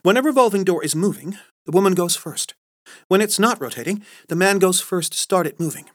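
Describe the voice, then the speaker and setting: Witty prim saleswoman , man doing her, raised pitch, teasing. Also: animated, brisk. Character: poised witty married woman sales rep.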